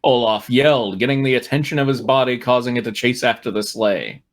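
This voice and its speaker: A man's voice: medium pitch, brisk. A man reading fan fiction aloud as host, narrating dramatically with wry, amused asides. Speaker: reader and host.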